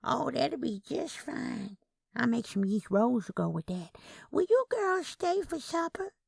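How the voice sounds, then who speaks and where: A woman acts out a tiny old Southern aunt in an audio story, proud and genteel. The voice lifts high with swooping intonation, and she talks in a gentle, folksy, insistent way. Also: big pitch swings, unhurried, creaky, breathy edge, no room sound, studio quality, exaggerated voice. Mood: homely, brisk.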